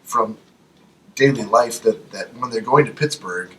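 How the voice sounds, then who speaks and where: Bright-voiced man ranting, slightly distant. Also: medium pitch, measured pace. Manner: emphatic, profane. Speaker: podcast co-host. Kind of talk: casual ranting chat. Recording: distant mic, faint background noise.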